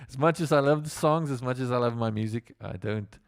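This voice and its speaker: A man's voice: mid-range voice, a little fast, gravelly, no room sound, faint music behind. A South African man, native Afrikaans speaker, a musician telling stories on a casual livestream in a rambling way with lots of fillers. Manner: rambling, with many fillers and restarts.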